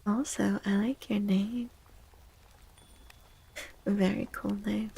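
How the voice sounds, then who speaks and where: A woman hosts a tarot stream in a gentle, whispery ASMR voice. Her tone is mellow and dark, with a noticeable vocal fry. She takes her time over each phrase, close on the mic. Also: medium pitch, little pitch movement, slight background noise. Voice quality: breathy, often dropping into a whisper. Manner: gentle, slow, drawn-out phrasing. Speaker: livestream host doing tarot readings. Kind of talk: soft-spoken ASMR, chatting to viewers. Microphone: close microphone.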